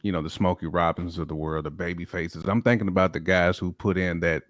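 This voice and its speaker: Male panelist with a lowish voice, casual and emphatic, recorded dry. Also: steady tone, fairly quick, dry recording. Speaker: panelist on a hip hop discussion show. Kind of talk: casual opinionated debate.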